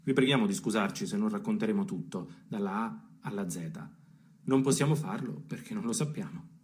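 Low, mellow actor as a confiding male storyteller. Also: lightly acted. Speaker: stage actor narrating. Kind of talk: literary radio theatre narration.

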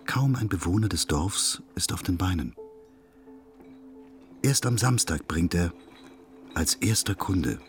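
A man playing a detached narrator in a literary radio drama, speaking soberly and deliberately. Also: sits low. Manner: sober, deliberate, matter-of-fact. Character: detached, sober male narrator observing a loner.

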